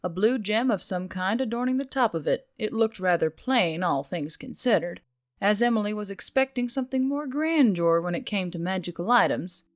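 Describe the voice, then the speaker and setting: A woman's voice: medium pitch, lively intonation, normal speed. A woman reading scripted story narration as the narrator, with music behind her.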